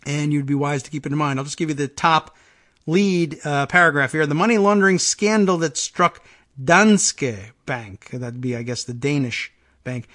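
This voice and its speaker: Male talk-show host, slightly higher voice, reads headlines and adds dry asides, halting delivery with frequent ums. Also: fairly bright tone. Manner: halting, lots of ums and restarts, wry asides. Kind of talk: casual news commentary, reading headlines aloud.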